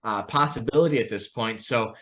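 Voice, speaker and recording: A man's voice: medium pitch, fairly quick, fairly bright tone. Guy with a mid-range voice hosting a markets chat, conversational, talking charts and asking questions. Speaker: host of a market analysis discussion. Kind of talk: conversational financial commentary and questioning.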